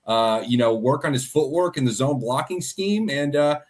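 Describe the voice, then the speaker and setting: A man's voice: mid-range voice, expressive, moderate pace, a little mellow. Playful man doing podcast sports banter. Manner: playful, hesitant restarts, sports-talk banter. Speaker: podcast co-host. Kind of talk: casual podcast chat.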